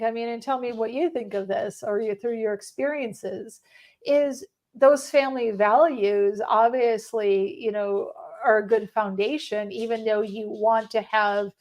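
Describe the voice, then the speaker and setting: A woman's voice: a little high, unhurried, darkish tone. A woman with a Chicago accent hosting a conversational interview, reflective and meandering as she rephrases her questions. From Chicago, United States. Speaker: podcast host.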